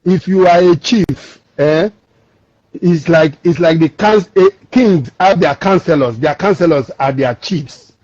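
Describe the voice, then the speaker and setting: A Nigerian man from Lagos calls in and explains emphatically, circling back over his words. His voice sits high and husky. The line is dull and lo-fi, and the sound is dry. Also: unhurried, darkish tone, no room sound. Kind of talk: call-in discussion.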